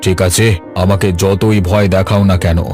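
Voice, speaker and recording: Man acting a proud, low-voiced old father. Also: flat delivery, moderate pace, a little mellow, natural voice. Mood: defiant, suspicious. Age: older. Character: embittered, proud old landowning father, quick to anger. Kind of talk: Bengali cartoon voice acting. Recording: music under the voice.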